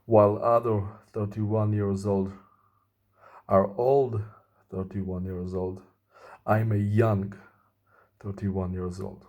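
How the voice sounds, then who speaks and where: A man in his thirties speaking earnestly in a slow, deliberate personal monologue to camera. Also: on the low side, level tone, darkish tone. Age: 30s. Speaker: vlogger.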